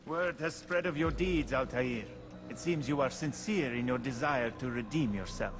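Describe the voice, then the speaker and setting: An actor playing a stern, measured guild master, formal and dry, in a video game cutscene. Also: male voice, medium pitch, over music, natural voice. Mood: skeptical. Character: stern, measured male bureau master judging an assassin.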